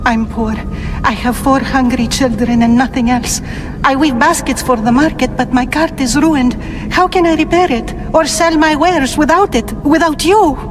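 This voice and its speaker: A woman's voice: high-pitched, normal speed, over music, lightly acted. An actress playing a poor, devout, humble Greek mother in a video game, speaking earnestly and reverently. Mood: desperate, pleading. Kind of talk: video game cutscene acting.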